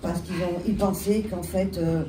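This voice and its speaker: A woman with a French accent and a head cold, telling her story on a panel with halting restarts. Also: on the low side, fairly even intonation. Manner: halting, many restarts and fillers. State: has a cold. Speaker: bar owner, panelist. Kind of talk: panel talk, recounting her history. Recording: echoey room, distant mic, loud background noise.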